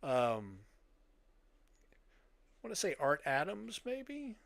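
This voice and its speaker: A man's voice: on the high side, wildly swooping pitch, slow, creaky, a crowd in the background. A middle-aged man chatting casually as a podcast host about collecting.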